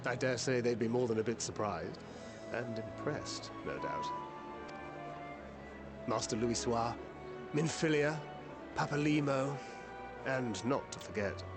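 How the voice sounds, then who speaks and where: Wry, courteous gentleman for game cutscene male actor, medium pitch, leisurely delivery, clear music behind. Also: lightly acted. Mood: wry, reflective. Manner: measured, gently amused, refined. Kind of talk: video game cutscene acting.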